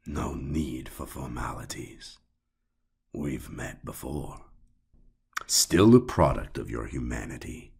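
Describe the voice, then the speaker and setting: Man voicing a slow, gravelly, whispery inhuman god. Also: very deep voice, lively intonation, darkish tone, exaggerated voice. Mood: mocking. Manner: slow, drawn-out, mockingly genteel. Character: suave inhuman male god in handsome human form, mocking. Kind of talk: audiobook villain acting.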